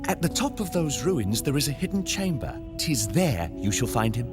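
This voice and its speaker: A man's voice: mid-range voice, big pitch swings, moderate pace, over loud music, close to an everyday voice. Man as a wildly swooping courtly schemer. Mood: sly, mannered. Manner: mannered, archaic, affected politeness. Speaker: video game character actor. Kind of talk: theatrical game cutscene acting, archaic dialogue.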